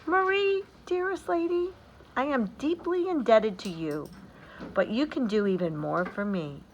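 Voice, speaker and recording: Brief: courtly, high-voiced little nutcracker doll, as a woman reads him. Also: unhurried, lo-fi sound, a little hiss or hum. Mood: devoted.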